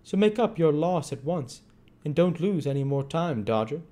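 A man's voice: on the high side, animated, moderate pace, warm tone, voice pushed up, close to an everyday voice. A man voicing a sly, wheedling old crime boss with a coaxing, falsely affectionate manner in a storybook reading. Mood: urging. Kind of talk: acted dialogue in a classic novel reading.

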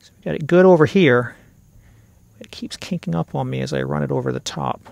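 A man's voice: on the high side, a little fast, vocal fry, darkish tone, no echo. A middle-aged man giving casual, step-by-step instructional narration as the host of a hands-on repair tutorial. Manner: conversational, step-by-step explaining.

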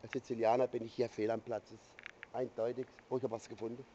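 A man's voice: medium pitch, flat delivery, quick pace, muffled recording, noisy background. A middle-aged man from Sicily with an Italian accent, joking and rambling to a handheld camera outdoors under a river bridge, full of hesitant filler sounds. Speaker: vlogger. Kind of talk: joking self-introduction to camera. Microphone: handheld camera nearby.

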